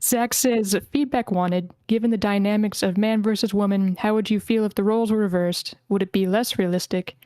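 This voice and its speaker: A woman's voice: medium pitch, fairly even intonation, normal speed, few pauses, dark tone, clean tone, no room sound. A woman hosting a script table read, moderating casually and reading aloud. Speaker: host of script table reads.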